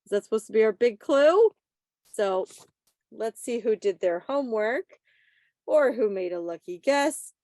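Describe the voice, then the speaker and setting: A woman with a fairly high voice hosts a quiz in a chatty, informal way. She teases playfully as she goes, and the sound is dry and close, with little room in it. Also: animated, unhurried, dark tone, dry recording. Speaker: quiz host at a club meeting. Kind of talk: casual quiz presenting on a video call. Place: online video meeting.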